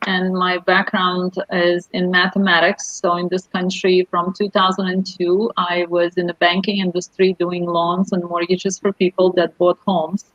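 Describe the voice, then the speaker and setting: A woman's voice: on the low side, level tone, normal speed, few pauses, a bit bright, no echo. Woman, Ukrainian accent, earnest, slightly broken English, dry sound, lo-fi call audio. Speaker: guest, life purpose coach. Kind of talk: telling her life story in an interview. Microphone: video call.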